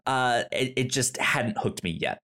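Animated man who stammers and restarts. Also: medium pitch, a little slow. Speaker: podcast co-host. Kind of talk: casual chat reviewing a TV show.

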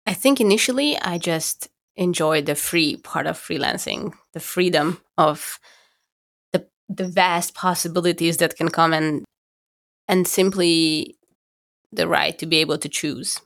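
Hungarian accent woman, deep and kinda gravelly, podcast from home, thinks out loud and restarts a lot. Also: a little slow. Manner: thoughtful, searching for words with restarts. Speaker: podcast co-host. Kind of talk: casual conversation.

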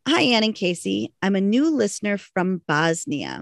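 A woman's voice: mid-range voice, big pitch swings, normal speed, gravelly, dry recording, studio quality. A woman co-hosting a podcast in casual chat, reading out a listener's email and joking with her co-host. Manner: laid-back, laughing, self-teasing.

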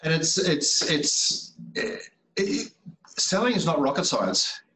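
A man's voice: on the high side, very animated, slow, sharp tone. A man, a sales trainer talking casually as a podcast guest, emphatic and repeating words for effect. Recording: roomy, distant from the mic.

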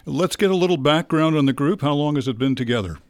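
A man's voice: fairly high pitch, animated, fast. A man hosting a radio studio interview, asking easygoing, conversational questions. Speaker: radio host.

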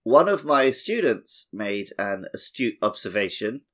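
A man's voice: fairly low pitch, sing-song, unhurried, fairly bright tone. A man co-hosting a podcast in a casual, jokey and informal way. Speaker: podcast co-host. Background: music.

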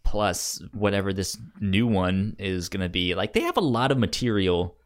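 A man co-hosting a podcast in casual chat, halting and stammering over his words as he talks. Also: low voice, animated. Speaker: podcast co-host.

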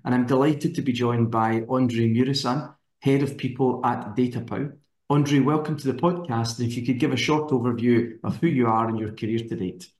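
A man hosting an interview podcast, politely introducing his guest and then asking relaxed questions. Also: medium pitch, moderate pace. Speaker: podcast host. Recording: echoey room.

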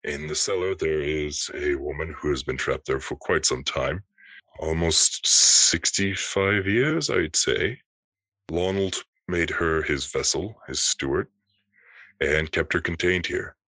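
A man voicing a hooded, faceless reaper who referees the afterlife with calm authority, in measured, formal pronouncements. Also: extremely low pitch, no room sound, full character voice, rough recording. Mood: calm, explanatory.

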